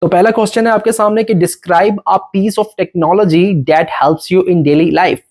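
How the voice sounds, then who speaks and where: Man, exam coach who switches between fast Hindi-Urdu and slow English, keeps checking in with the viewer. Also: high voice, steady tone, a little mellow. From Pakistan. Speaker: IELTS coach. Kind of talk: tutorial lesson mixing Hindi-Urdu and English.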